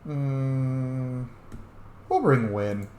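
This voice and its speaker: A man's voice: mid-range voice, very slow, dark tone. A man giving casual, informal let's-play commentary, thinking aloud as he plays a video game. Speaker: video game player, streamer. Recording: roomy echo, faint background noise.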